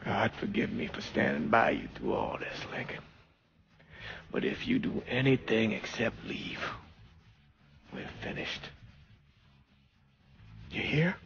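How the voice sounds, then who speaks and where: An actor playing a weary, morally stern older priest in a game drama, husky and earnest, with a Southern American accent. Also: male voice, on the low side, big pitch swings, a bit bright, dull audio, slight background noise, exaggerated voice. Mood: grieving, grave. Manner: grave, earnest, halting. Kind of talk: dramatic video game acting.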